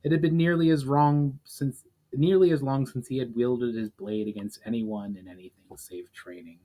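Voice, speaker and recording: A man's voice: mid-range voice, fairly even intonation, normal speed, dark tone, low-quality recording. Man giving a dramatic fantasy reading. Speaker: author reading own fiction aloud. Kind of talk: dramatic audiobook-style reading of a fantasy story.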